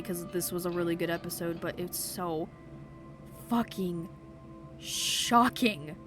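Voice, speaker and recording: A young woman playing a game on a desk microphone, reading the game text aloud and screaming and swearing in shock. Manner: reads game narration in a lower, quieter tone. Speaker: let's play gamer. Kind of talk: game playthrough commentary with read-aloud game text. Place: home gaming desk.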